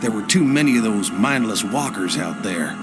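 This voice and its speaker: A man's voice: lowish voice, expressive, moderate pace, lightly acted. An actor playing a plainspoken rural American farmer who survived a zombie outbreak, narrating his haunted story for a video game in a folksy way. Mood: steady, weary. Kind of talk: scripted first-person game narration. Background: music.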